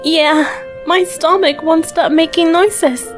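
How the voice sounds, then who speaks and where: Bewildered, feisty young woman played by a woman, audio drama: high, unhurried, slangy and exclaiming. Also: fairly even intonation, over music. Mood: excited, hungry. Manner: slangy, exclaiming, peppers talk with Japanese words. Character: confused, irritated, outspoken young woman, comically bewildered.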